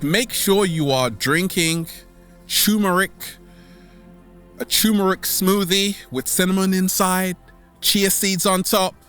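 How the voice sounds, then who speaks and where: A man's voice: sits high, a bit bright, clean tone. A man speaking as a vlog host in a playful, theatrical motivational monologue, drawing words out for emphasis. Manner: theatrical, playful, repeating catchphrases, with drawn-out emphasis. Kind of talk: motivational monologue to online followers.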